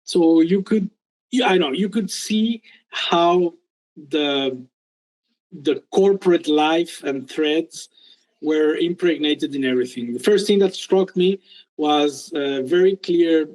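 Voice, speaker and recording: A middle-aged man from Peru, a guest telling his career story in an interview, with many pauses and restarts. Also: fairly high pitch, darkish tone, poor audio. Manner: hesitant, many ums and restarts. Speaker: guest entrepreneur. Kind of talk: casual interview talk.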